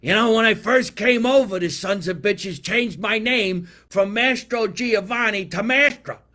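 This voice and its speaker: A man putting on the voice of an older immigrant uncle, loud and exasperated. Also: unhurried. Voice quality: loud, exasperated, higher-pitched put-on voice. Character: older Italian immigrant uncle, grumbling storyteller.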